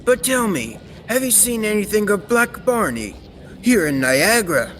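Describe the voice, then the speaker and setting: A man playing a kindly, absorbed scientist of the future in old-time radio serial style, theatrical and deliberate. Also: high-pitched, very animated, fairly bright tone. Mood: busy, genial. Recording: reverberant, noisy stage-like recording.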